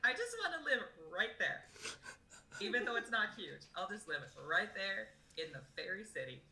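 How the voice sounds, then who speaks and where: A young woman with a deeper voice, a voice actress guest chatting casually and rambling, laughing often, over a video call. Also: big pitch swings, moderate pace, pushed and bright. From United States. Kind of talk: casual convention panel interview. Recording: echoey, distant, faint background noise.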